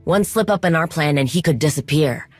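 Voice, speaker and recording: An earnest, anxious female conspirator in a video game cutscene. She talks in an urgent yet conversational way. The sound is dry and close, with soft music behind her. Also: low-pitched, lively intonation, brisk, breathy edge, no room sound. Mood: focused, urgent. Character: earnest, anxious conspirator planning a risky con.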